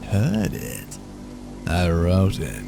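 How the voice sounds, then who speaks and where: An actor playing a smug, theatrical clown villain with a mocking delivery in a comic dub. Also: male voice, sits low, sing-song, very slow, creaky, over music, heavily acted. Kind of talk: dramatic comic dub acting.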